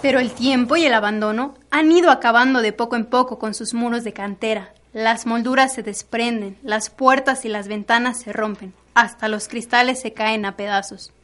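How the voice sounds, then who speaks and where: A woman's voice: on the high side, normal speed, hardly any pauses, a bit bright, a little hiss or hum. A young adult woman telling a scripted radio drama story. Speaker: narrator.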